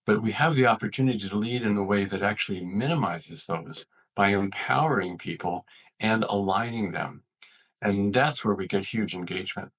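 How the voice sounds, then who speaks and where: Low-pitched, slightly husky man explaining. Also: moderate pace, fairly bright tone, poor audio. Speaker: physician, author and leadership expert interviewed as guest. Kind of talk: explanatory interview talk.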